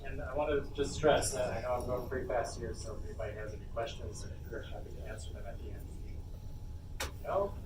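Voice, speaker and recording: A man presenting a live software tutorial informally, haltingly talking through each step as he does it. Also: mid-range voice. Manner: informal, halting narration of own steps. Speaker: workshop presenter, coder. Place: indoor convention panel room. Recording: roomy, distant, noisy panel room recording.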